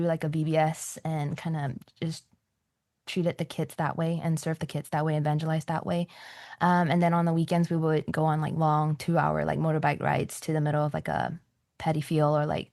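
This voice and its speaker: A woman's voice: low-pitched, darkish tone, no room sound, studio quality. A young woman from Malaysia, a nurse practitioner, talking in a casual church interview with many "um"s and "like"s. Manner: chatty, many fillers like "um" and "like". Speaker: nurse practitioner and missionary guest.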